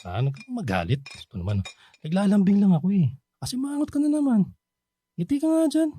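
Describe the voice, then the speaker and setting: A man's voice: high-pitched, very animated, a little fast, breathy edge, full character voice. A man acting a hesitant, tender older uncle figure and secret lover in a Filipino radio drama, with a Filipino accent. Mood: playful, coaxing. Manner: gentle, hesitant, coaxing. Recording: soft music underneath. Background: background music.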